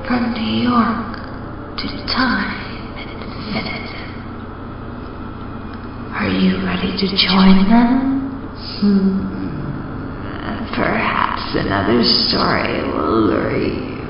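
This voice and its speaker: A menacing, disembodied female spirit from an old-time horror radio show. The voice creaks as it beckons theatrically. It sounds distant, drenched in huge echoes. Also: mid-range voice, sing-song, very slow, long pauses, heavily acted. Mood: eerie, luring. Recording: distant, cavernous reverb, noisy, muffled.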